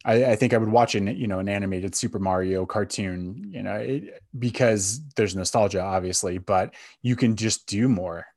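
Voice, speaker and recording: Lowish guy voice, casual podcast chat, blunt opinions, close dry sound. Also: normal speed, hardly any pauses, darkish tone, no room sound. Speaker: podcast co-host.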